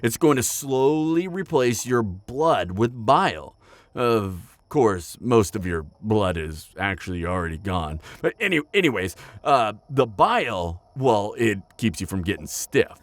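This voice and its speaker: A male actor plays an upbeat, practical necromancer chatting to the listener in an audio roleplay. His lowish voice swings freely up and down, with casual, hesitant asides. The sound is close and dry. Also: no room sound. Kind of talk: conversational roleplay addressed to the listener.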